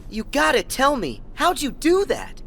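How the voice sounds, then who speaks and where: A man voicing a plucky, earnest teenage boy hero in an animated game, youthful and curious. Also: very high-pitched, measured pace, gravelly, a little hiss or hum, exaggerated voice. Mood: confident. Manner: youthful, spirited. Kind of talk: animated game character acting.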